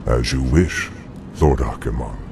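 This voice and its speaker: A man's voice: extremely low pitch, measured pace, background noise. A man playing a sinister, smug, scheming demon servant in a video game, with formal, theatrical delivery. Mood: deferential, pleased. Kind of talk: video game cinematic acting.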